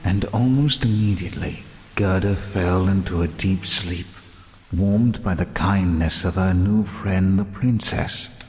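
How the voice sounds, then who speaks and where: A man acting as the solemn, measured narrator of a fairy-tale audio play. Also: low voice, darkish tone. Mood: solemn, tender. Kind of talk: scripted storybook narration. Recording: long reverb, faint background noise.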